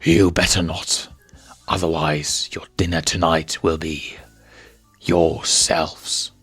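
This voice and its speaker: A man's voice: extremely low pitch, level tone, fairly bright tone, breathy edge, faint music behind, exaggerated voice. A man voicing a menacing, contemptuous villain lion in a storybook, drawing out his theatrical threats. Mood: cold threat. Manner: slow, drawn-out, theatrical threats.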